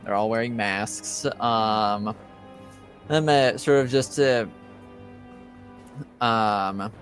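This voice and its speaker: A man narrating a tabletop roleplaying game as game master in a hesitant, halting, low-key way. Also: fairly even intonation, fairly bright tone, soft background music.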